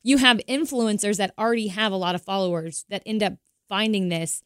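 A woman co-hosting a podcast, a little hoarse from a cough, chatting and explaining things at length. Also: medium pitch, a little fast, fairly bright tone, no room sound, studio quality. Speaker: podcast co-host. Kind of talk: casual chat.

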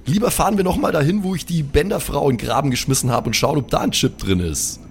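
A man playing an apologetic, excitable superhero with slangy, stammering speech in an improvised audio drama. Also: a little high, fast talker, gravelly, a little hiss or hum. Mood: alarmed. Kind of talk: improvised German audio drama role-play.

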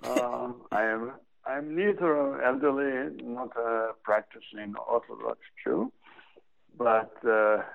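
An older man with an Israeli accent, a psychology professor, answering reflectively with dry humor and a slow, soothing way of speaking, from his home workroom. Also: mid-range voice, gravelly. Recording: tinny phone-line audio.

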